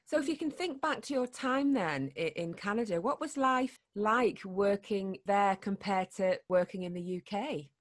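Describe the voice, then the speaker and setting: A woman's voice: mid-range voice, expressive, normal speed, hardly any pauses. A woman hosting an interview show, friendly and upbeat, with light teasing. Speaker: podcast host.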